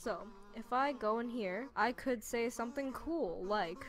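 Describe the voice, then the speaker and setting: A woman's voice: a little high, unhurried. A woman giving a casual app walkthrough in a chatty, informal, offhand way. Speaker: video creator. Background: music.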